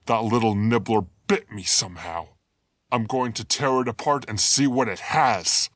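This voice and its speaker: A man's voice: medium pitch, normal speed, fairly bright tone, no echo, clean studio sound, natural voice. A man voicing a stubborn, hungry and vengeful predator animal in a dramatic comic dub. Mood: menacing. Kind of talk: dramatic comic fandub acting.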